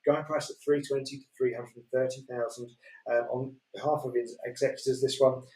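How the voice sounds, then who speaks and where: A man's voice: mid-range voice, fairly quick. Yorkshire man, echoey and distant. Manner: relaxed, many fillers, chuckles. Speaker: auctioneer presenter. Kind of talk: casual chat describing property lots. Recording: echoey room, distant mic.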